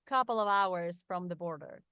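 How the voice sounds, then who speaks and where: Mexican lady chatting on a podcast, smooth clear voice, dry close sound, friendly. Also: on the low side, lively intonation, moderate pace, bright, forceful tone, no room sound. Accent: Mexican Spanish. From northern Mexico. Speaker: voice actress, guest. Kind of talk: casual interview chat.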